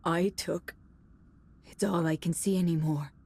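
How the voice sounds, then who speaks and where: A woman playing a broken, horrified victim of possession in a video game, speaking in a breathy, halting, anguished way. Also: fairly low pitch, steady tone, a little mellow, full character voice. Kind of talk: video game cutscene acting.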